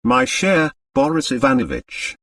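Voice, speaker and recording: A cool, mercenary Russian woman in a storybook thriller, performed by a male narrator. The pitch is lifted and swings expressively, while the delivery stays measured and unemotional. The recording is dry and a little off the mic. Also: huge pitch swings, creaky, a bit bright, no room sound, recorded from a distance, heavily acted. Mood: businesslike. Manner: measured, composed, matter-of-fact.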